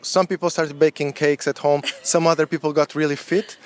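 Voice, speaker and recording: A man who founded a fitness community, telling its story in a relaxed, chatty way at an outdoor meetup. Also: on the high side, brisk, clean tone. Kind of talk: casual interview.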